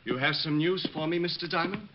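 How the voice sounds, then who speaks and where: Man acting unhinged, high-voiced radio villain. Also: fairly quick, background noise, lightly acted. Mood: menacing calm. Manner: menacing politeness swinging into agitated outbursts. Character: unhinged, bitter bomber convinced of his own great talent. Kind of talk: 1950s radio detective drama acting. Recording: muffled vintage radio broadcast recording.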